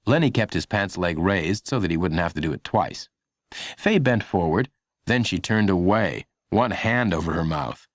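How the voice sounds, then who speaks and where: Man, children's audiobook narrator, scripted storytelling, lively varied pitch, dry close sound. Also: moderate pace, no room sound.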